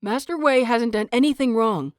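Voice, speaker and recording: A woman voicing an earnest, determined and polite young male disciple in an audiobook story, pleading with measured restraint. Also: fairly high pitch, darkish tone, no room sound, clean studio sound, pitched above the performer's own voice, close to an everyday voice. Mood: calm, intense. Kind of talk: dramatised audiobook reading.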